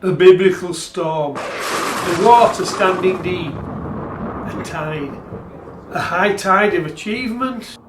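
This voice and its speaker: An actor playing a wry, bleakly obsessed rugby supporter in a radio play. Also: male voice, high voice, slow pace, pauses often, noisy background. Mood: solemn. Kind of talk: dramatic radio play retelling. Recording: roomy, echoing sound.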